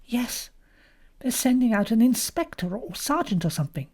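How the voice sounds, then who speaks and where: Woman as harried young wife in audiobook drama: polite, fretful, high-pitched, animated. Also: normal speed. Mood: worried. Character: anxious, harried young wife running a guest house.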